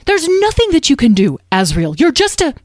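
A woman's voice: medium pitch, normal speed, mellow, no echo, studio quality, voice pushed up, lightly acted. A woman voicing an anxious, protective mother dragon in a storybook, stern and pleading, with urgent, emphatic delivery. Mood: panicky, pleading.

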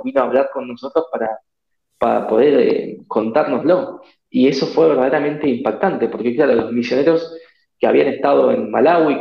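A man from South America, a missionary, giving earnest, reflective interview answers with frequent pauses and fillers. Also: mid-range voice, gravelly, reverberant, lo-fi sound. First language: Spanish. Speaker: missionary priest.

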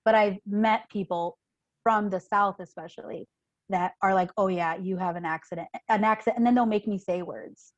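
A woman from Chicago talks as a guest on a casual podcast. She chats freely, with a lot of "like" and "you know", in a middle-pitched voice. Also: fairly even intonation, moderate pace, dull audio. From Chicago, USA. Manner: chatty, lots of fillers like "like" and "you know".

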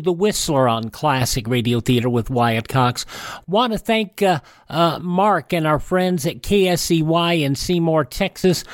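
A man's voice: a little high, no room sound, clean studio sound. A man hosting a radio show of vintage programs, talking conversationally to listeners.

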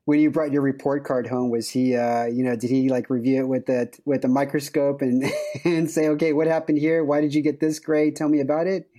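A man's voice: mid-range voice, flat delivery, a little fast, warm tone. A man from the United States hosting a startup podcast, chatty and jokey, laughing often. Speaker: podcast host. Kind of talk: upbeat podcast interview.

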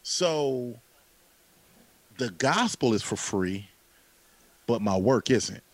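A man in his late thirties talks in a loose podcast chat, driving his points home by repeating himself. His voice sits at an ordinary middle pitch. It is recorded close and dry, with no room sound. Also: very slow, dry recording, rough recording. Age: late 30s. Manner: animated, repeats phrases for emphasis, casual slang. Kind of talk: casual podcast conversation.

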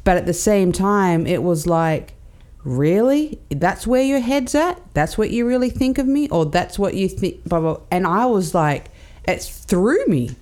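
A woman's voice: mid-range voice, animated, a little slow, darkish tone. A woman from Australia on a casual podcast, telling a personal story with quoted asides and fillers. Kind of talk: casual panel chat.

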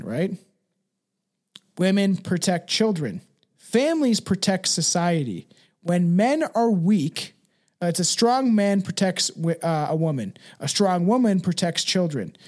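Man, high-pitched voice, podcast host, blunt, emphatic, sweary, casual opinionated chat. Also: a little mellow. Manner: blunt, emphatic, swears freely, ends phrases with "right" and "man".

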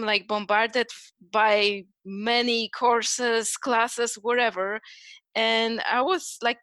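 Female podcast host, Polish-accented English. Bright, crisp-edged voice, casual and halting, recorded dry. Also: a little high, dry recording. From Poland. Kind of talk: casual personal chat.

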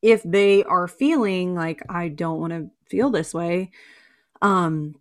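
A young woman from the American South with a low voice, chatting casually and bluntly as a podcast co-host. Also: takes it slowly. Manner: blunt, swears freely, trails off with "like". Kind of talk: casual chat.

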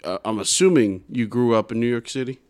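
A man's voice: mid-range voice, fairly quick, a little mellow. A man hosting an interview who restarts and stammers often as he forms questions. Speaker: podcast host. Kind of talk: casual interview.

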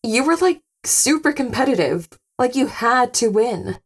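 A woman's voice: on the high side, expressive, measured pace, breathy edge, exaggerated voice. An actress playing a candid wife who spars affectionately with her husband in an audio drama, casual and teasing. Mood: concerned.

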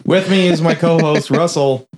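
A man's voice: a little high, normal speed, a little mellow, soft background music. A man hosting a casual movie review podcast, joking and swearing as he rambles. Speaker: podcast host.

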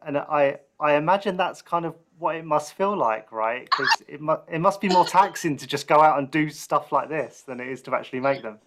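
A man's voice: on the high side, lively intonation, fairly quick, few pauses, a bit bright, a little hiss or hum. A man hosting a podcast and chatting casually with a guest. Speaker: podcast host, interviewer. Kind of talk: casual film podcast interview.

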